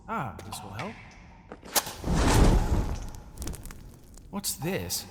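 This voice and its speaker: An actor playing an indignant, suspicious British banker in an audio drama, talking in a theatrical, exclaiming way. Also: male voice, fairly high pitch, noisy background, full character voice. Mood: suspicious, curious. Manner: theatrical, muttering to himself, exclaiming. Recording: loud music behind the voice. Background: music.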